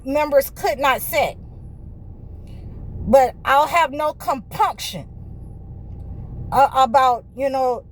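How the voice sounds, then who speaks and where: A woman with a high voice preaches to her viewers in a fervent, circling way. She keeps restarting her sentences and leaning on "you know", and at times pushes up close to a shout. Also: low-quality recording. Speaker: spiritual healer and channel host.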